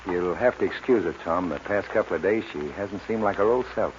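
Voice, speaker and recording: A man's voice: low-pitched, fairly quick, natural voice. An actor playing a plain, kindly, concerned middle-aged rancher father in a 1940s western radio drama. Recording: muffled hissy 1940s radio recording.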